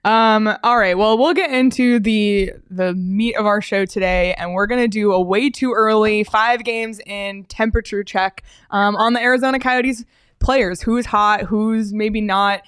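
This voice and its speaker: A woman hosting a hockey talk show, guiding the conversation in a relaxed, casual way with frequent fillers. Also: mid-range voice, a little slow, clean tone, no room sound, studio quality. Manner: steady, uses fillers, leads the segment. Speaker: sports show host.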